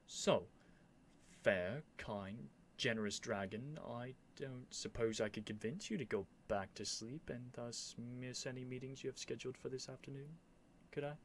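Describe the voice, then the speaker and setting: A man's voice: fairly low pitch, voice pushed down. A man playing a young royal prince in a scripted ASMR roleplay, earnest and lovestruck, speaking in formal, courtly phrases with haughty airs and flustered hesitations. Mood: hopeful pleading. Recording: faint background noise.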